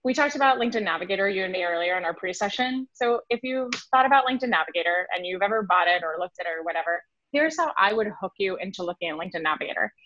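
A woman in her thirties, from Switzerland with French as her first language, talking quickly and animatedly as a guest on a podcast interview over a video call. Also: mid-range voice, a little hiss or hum. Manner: animated, quick, gestures with hands, acts out emails. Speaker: sales consultant guest. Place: home room on video call. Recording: dull, dry video call audio.

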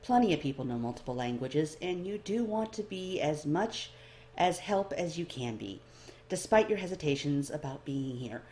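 A woman reading fan fiction aloud as expressive scripted story narration. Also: low-pitched, normal speed, slight background noise. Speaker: amateur fan fiction reader.

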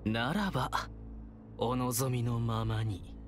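An actor playing a taunting, playful and menacing young male villain in a video game, with a theatrical, teasing, drawn-out delivery. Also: mid-range voice, music in the background, natural voice. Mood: amused, menacing.

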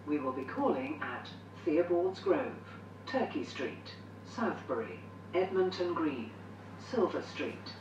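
A British woman delivers recorded train announcements in a formal, measured way, with a fairly low voice and wide swings in pitch. She is heard through an echoey onboard speaker. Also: very animated, recorded from a distance, muffled recording, background noise. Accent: British English. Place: inside trains, played over announcement speakers.

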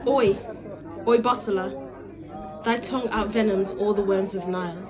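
Woman playing scornful pub keeper, old-timey talk, mid pitch, busy crowd behind. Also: fairly even intonation, unhurried, close to an everyday voice. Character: scornful tavern keeper throwing out a troublemaker. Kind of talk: Shakespearean audio drama.

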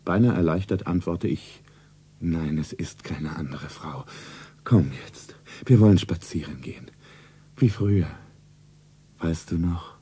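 Markus, a deep, dark-voiced secretive lover. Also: male voice, breathy edge, full character voice. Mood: relieved. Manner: deliberate, solemn, self-narrating. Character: Markus, rich secretive suave lover of a shopgirl. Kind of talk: stylised 1970s German radio play acting.

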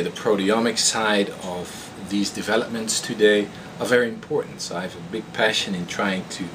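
Dutch-accented man, lowish voice, noisy room. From Netherlands. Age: 30s. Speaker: postdoctoral researcher. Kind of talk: informal self-introduction to camera. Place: indoors in a laboratory. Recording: clear steady room noise in lab.

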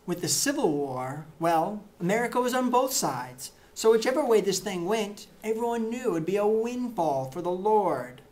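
A middle-aged man reading satirical excerpts to camera in a deadpan, mock-earnest way, in a plain indoor room. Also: high voice, normal speed, few pauses, poor audio. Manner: mock-earnest, punchy, with gestures. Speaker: reader of satirical book excerpts.

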